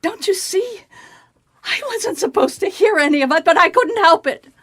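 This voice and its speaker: An actress playing an anxious, loyal female office employee, reluctant and halting, in a radio drama. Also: extremely high pitch, lively intonation, a bit bright. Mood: pleading.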